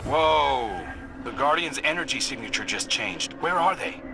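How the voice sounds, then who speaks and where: An actor playing a brooding, wry human scout in a video game, giving clipped tactical guidance to a comrade. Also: male voice, medium pitch, expressive, measured pace, creaky. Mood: urgent. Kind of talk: video game character dialogue. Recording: game dialogue over loud music.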